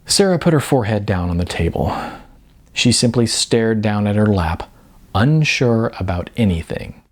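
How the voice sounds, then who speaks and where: A man narrating comic fiction as an expressive audiobook reader. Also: on the low side, normal speed.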